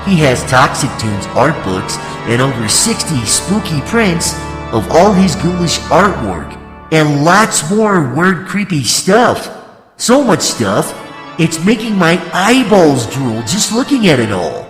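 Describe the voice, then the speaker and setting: Creepy gleeful ghoulish pitchman, slow hammy swooping delivery, comic Halloween radio ad, reverb. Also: big pitch swings, a bit bright, heavily acted. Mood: gleefully creepy. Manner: hammy, drawn-out, theatrical. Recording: echoey long reverb, music underneath.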